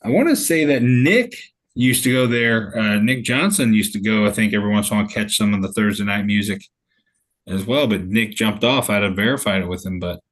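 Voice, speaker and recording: Chatty laughing St. man host. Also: fairly low pitch. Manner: chatty, laughs often, loose rambling restarts. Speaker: meetup host. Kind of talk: casual group video call chat.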